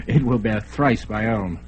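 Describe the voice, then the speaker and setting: Taurus, a chuckling master thief. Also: male voice, lowish voice, slow pace, slight background noise. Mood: urgent. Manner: chuckling, scheming, commanding. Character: cunning male master thief, boastful and bold. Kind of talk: vintage radio drama acting.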